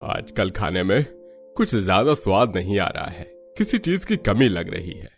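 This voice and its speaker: A man's voice: fairly low pitch, big pitch swings, moderate pace, soft background music. A man voicing a mild, hesitant older father-in-law in a cartoon family story. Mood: mildly dissatisfied. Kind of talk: Hindi cartoon story voice acting.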